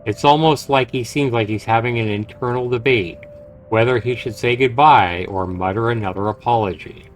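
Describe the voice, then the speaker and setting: Guy streaming a visual novel, reads it out in a low voice, bit halting, game music behind. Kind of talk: live game stream narration, reading aloud. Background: video game music.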